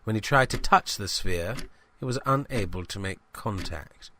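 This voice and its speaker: A man's voice: on the low side, lively intonation, moderate pace, pushed and bright, slight background noise. A man playing a self-important novice small-town reporter, formal and dismissive, in a scripted comic audio diary. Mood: pompous, dismissive. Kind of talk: scripted comedy narration, journalist's audio diary.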